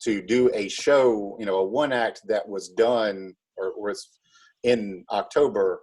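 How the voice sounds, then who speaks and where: Man, low pitch, husky rasp, halting explanatory delivery, casual interview talk. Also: a little mellow, rough recording. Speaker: school drama club director being interviewed.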